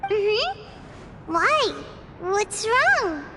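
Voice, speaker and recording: A woman playing a tiny childlike fairy companion in a fantasy game, earnest and inquisitive, with a small squeaky voice. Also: very animated, drawn-out words, heavy background noise, full character voice. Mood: curious. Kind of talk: animated fantasy game voice acting.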